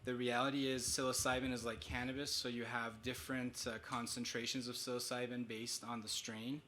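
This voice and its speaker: Man, bright voice, flat delivery, measured panel answer. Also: medium pitch, a little hiss or hum. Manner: measured, explanatory, frequent fillers. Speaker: panelist, clinician. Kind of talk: panel answer at a medical conference.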